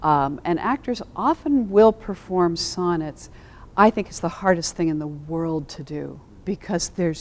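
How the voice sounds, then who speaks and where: Low-voiced, articulate woman explaining. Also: a little mellow. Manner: measured, articulate, thoughtful. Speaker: theater scholar and panelist. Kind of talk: explanatory discussion and spoken introduction.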